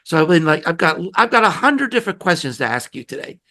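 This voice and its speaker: Hoarse guy hosting a podcast, kinda high voice for a man, rambles and restarts a lot. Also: very animated, fast talker, sharp tone. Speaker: podcast host. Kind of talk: casual conversational interview.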